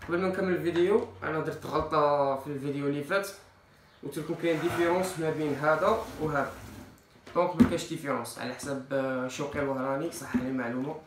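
Man in his twenties, Algerian accent, slightly high pitch, casual advice, French loanwords, echoey tiled room. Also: steady tone, very fast, noisy background. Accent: Algerian Arabic. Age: 20s. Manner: informal, mixes in French loanwords. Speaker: fitness vlogger. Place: small tiled kitchen, indoors.

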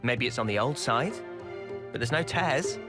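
A man's voice: medium pitch, lively intonation, normal speed, over music, close to an everyday voice. A man playing an earnest, practical, level-headed young squad leader in a video game cutscene. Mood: calm, practical. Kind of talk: anime-style game cutscene acting.

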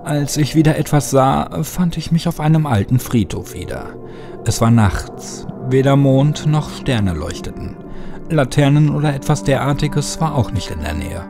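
A man's voice: mid-range voice, lively intonation, normal speed, creaky, over music. A man reading a scripted horror story as an audiobook narrator.

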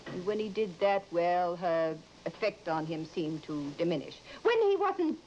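A woman's voice: on the low side, big pitch swings, a little slow, a little mellow. An actress playing a concerned, gossipy mother with theatrical emphasis, in an old-time radio horror drama. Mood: gossipy, emphatic. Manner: theatrical emphasis, addresses others as dear. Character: concerned, gossipy mother of a married daughter, fussing affectionately. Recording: dull old radio recording with hiss.

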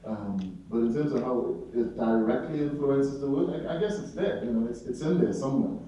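A middle-aged man answers questions after a talk, thoughtful and searching for his words. His voice is very dark and mellow. The recording is heard from across an echoey seminar room and sounds slightly dull. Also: mid-range voice, fairly quick, far from the mic. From Trinidad. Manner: reflective, searching for words, frequent "you know". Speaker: poet and novelist, guest speaker. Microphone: handheld mic.

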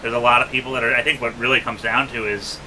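A man's voice: medium pitch, little pitch movement, quick pace, sharp tone, a little hiss or hum, low-quality recording. A man in casual conversation as an interviewer, chatting in a small workshop.

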